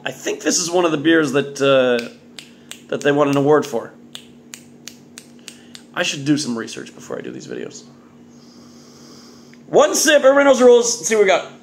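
Animated, high-voiced man reviewing on camera. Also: vocal fry, a little hiss or hum. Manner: animated, exclamatory, jokey delivery with muttered asides. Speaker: beer reviewer and vlog host. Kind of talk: casual solo video review, off the cuff. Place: home kitchen indoors. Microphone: phone or webcam at arm's length.

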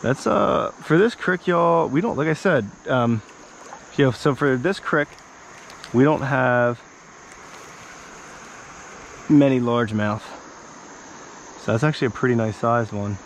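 A man's voice: medium pitch, measured pace, long pauses, low-quality recording. An American man in his thirties chatting casually and folksily to viewers as a fishing vlogger, outdoors on an action camera. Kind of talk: casual vlog chat while fishing. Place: outdoors by a small wooded creek. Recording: outdoor noise with insects and ambience.

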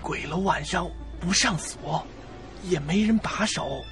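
A conspiratorial young male student, acted by a man in a radio play. His voice is fairly high and hushed, full of teasing, with clear music underneath. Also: measured pace. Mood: secretive. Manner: hushed, partly whispered, taunting. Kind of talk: radio drama acting.

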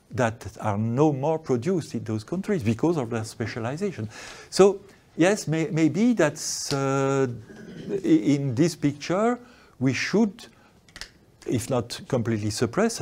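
An older man with as his answers an audience question, speaking slowly and haltingly. He keeps restarting phrases as he thinks aloud. He is recorded indoors in a lecture room on a lapel mic. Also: medium pitch, a little mellow. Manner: slow, halting, with many restarts. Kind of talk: academic Q&A answer.

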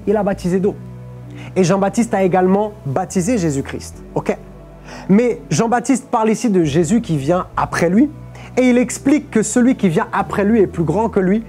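A young male preacher with a mellow, relaxed tone, teaching his sermon patiently and asking his listeners "ok?" again and again. He speaks from a lectern on a studio stage with faint music playing behind him. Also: sits high. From Portugal. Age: young adult. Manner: explanatory, peppers phrases with "ok?" and "amen". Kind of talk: sermon on a live stream.

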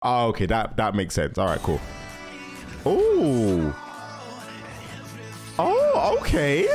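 Animated young man thinking aloud. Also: mid-range voice, slow pace. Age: young adult. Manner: slangy, thinking aloud, repeating himself. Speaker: reaction video host. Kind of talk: casual reaction commentary. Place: indoor room. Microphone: large microphone close to mouth. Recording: music and show audio behind.

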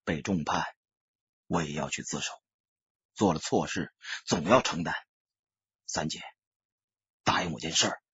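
A man voicing a remorseful young ex-soldier turned gangster in an audiobook, speaking in a subdued, halting, resolute manner. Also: deep voice, husky, no echo, highs cut off, voice pushed down, full character voice. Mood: resigned, resolute. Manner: hushed, heavy, halting. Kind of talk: audiobook character acting.

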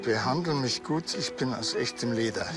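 A man's voice: mid-range voice, lively intonation, moderate pace, over music, lightly acted. An actor playing a laconic, sardonic village policeman with a Bavarian accent in a TV comedy. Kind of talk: screen acting in a police comedy series.

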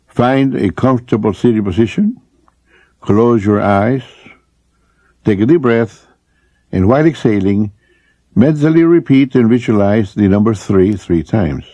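A man leading a scripted guided relaxation as an instructor, speaking in measured, deliberate short phrases with pauses. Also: lowish voice, animated, mellow, dry recording. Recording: muffled, noisy lo-fi recording with clicks.

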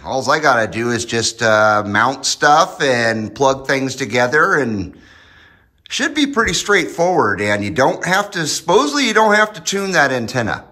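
A man's voice: medium pitch, big pitch swings, moderate pace, sharp tone. An American man narrating a casual hobby project vlog in a folksy, informal way. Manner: folksy, lightly swearing, informal. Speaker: host of a hobby radio channel. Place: home garage, indoors.

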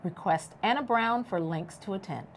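A middle-aged woman, a teacher co-hosting a school news show, reading announcements in a measured, careful way from a studio desk. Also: medium pitch, a little hiss or hum.

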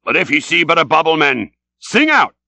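A man's voice: fairly high pitch, big pitch swings, normal speed, creaky, bright, forceful tone, no room sound, voice pushed up, heavily acted. A man voicing an old, obsessed whaling captain in an audiobook, fierce and commanding, with vehement, archaic, declamatory speech. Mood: fierce excitement.

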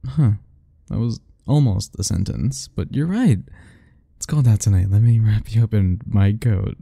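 A man voicing a doting, possessive yandere admirer in soft, husky, intimate murmurs for an ASMR romance roleplay. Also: on the low side, no echo, studio quality. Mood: tender, coaxing. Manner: slow, intimate murmuring, coaxing and self-talking.

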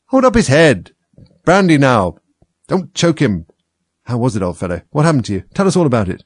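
A man voicing squabbling rustic animal workmen who bark brisk instructions in a storybook. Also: medium pitch, big pitch swings, darkish tone, no echo. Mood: alarmed. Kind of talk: storybook character voice.

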